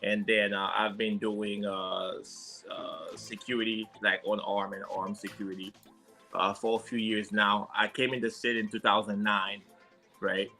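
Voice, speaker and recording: A man with a heavy Haitian accent and a very bright, forceful voice. He speaks as a guest in a relaxed interview, pausing often and restarting his sentences, with faint music in the background. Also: on the low side. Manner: hesitant, many fillers and restarts. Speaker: guest, security consultant. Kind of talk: casual interview chat.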